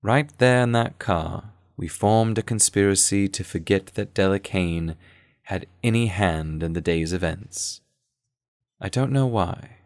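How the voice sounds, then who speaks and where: A man playing an earnest, shaken sleuth who narrates his adventure in a measured, literary way for an audio drama. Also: sits low, no echo, clean studio sound. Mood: grave, reflective. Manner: literary, measured, deliberate phrasing. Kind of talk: scripted first-person audio drama narration.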